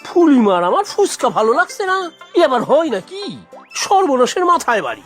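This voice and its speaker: A woman's voice: high voice, sing-song, normal speed, soft background music. A woman voicing a blustering, food-loving village father in a children's cartoon, with a melodramatic delivery. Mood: astonished. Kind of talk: Bengali children's cartoon voice acting.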